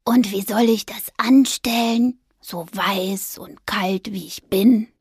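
Woman doing a breathy, timid little ghost. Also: a little high, fairly even intonation, takes it slowly, no room sound, studio quality, full character voice. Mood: sad. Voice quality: breathy, whispery. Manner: soft, downcast and hesitant. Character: small sad ghost, gentle and timid.

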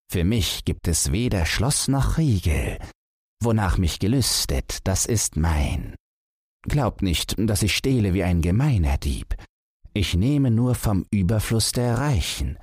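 A man's voice: lowish voice, darkish tone, no echo, clean studio sound, pitched above the performer's own voice, full character voice. A man putting on a proud young master thief. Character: clever grown son, a proud master thief.

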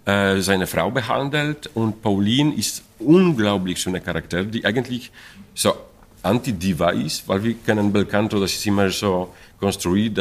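A middle-aged man from Poland speaks German with a Polish accent, stopping to hunt for words and restarting his sentences. His pitch swings widely up and down as he talks, and he often breaks into laughter. He sounds animated rather than slow. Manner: halting, searching for German words, frequent fillers and restarts. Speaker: opera stage director. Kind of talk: interview about an opera production.

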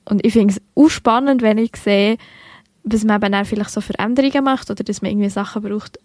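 A woman's voice: medium pitch, fast, darkish tone, no room sound, studio quality. A young woman from Switzerland with a Bernese Swiss German accent, co-hosting a podcast in casual, chatty talk full of fillers and self-corrections. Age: young adult. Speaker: podcast co-host. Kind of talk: casual literary podcast chat.

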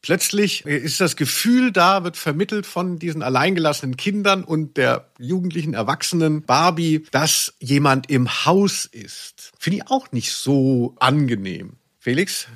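A man's voice: on the high side, animated, measured pace, few pauses, a bit bright. A man co-hosting a casual comedic podcast, talking with ironic, theatrical exaggeration. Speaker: podcast co-host. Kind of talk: casual comedic podcast chat about audio dramas.

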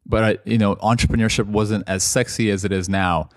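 A man in his thirties who grew up in Canada, a guest telling his story in a relaxed way and laughing often. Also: on the low side, fairly quick, no room sound, studio quality. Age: 30s. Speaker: guest, entrepreneur. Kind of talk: casual interview. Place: London.

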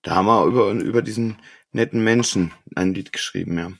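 A man's voice: fairly low pitch, brisk, gravelly. A man co-hosting a podcast in casual, colloquial conversation with friends. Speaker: podcast co-host. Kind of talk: casual chat among friends.

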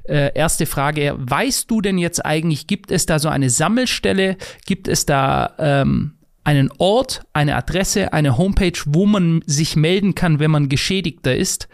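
A man from Germany co-hosting a casual podcast conversation, wordy and halting, often repeating his words. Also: fairly high pitch, lively intonation, dry recording, studio quality. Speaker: podcast co-host.

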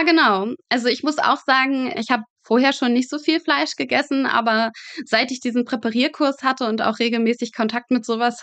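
A young woman with a fairly high voice talks as an interview guest. She explains and tells anecdotes in a chatty, casual way, recorded dry with very little room sound. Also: a bit bright, no echo. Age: young adult. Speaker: interview guest, medical student. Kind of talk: casual conversational storytelling.

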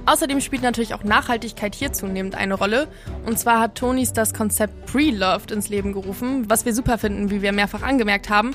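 A woman's voice: mid-range voice, fast, a bit bright, soft background music. A woman co-hosting a podcast, giving prepared commentary in an orderly, explanatory manner. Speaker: podcast co-host.

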